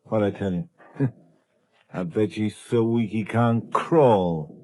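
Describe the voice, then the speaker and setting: An actor playing a rough, cocky henchman with slangy, excitable tough-guy talk in a 1930s radio drama. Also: lowish voice, slow. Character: rough, cocky crook henchman who panics easily.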